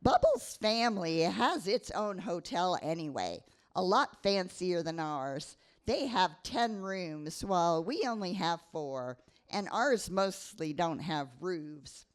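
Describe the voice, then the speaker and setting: Woman as bossy bookish nine-year-old girl, storybook narrator, unhurried, slightly low voice. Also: big pitch swings. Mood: matter-of-fact storytelling. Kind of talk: live dramatic story reading.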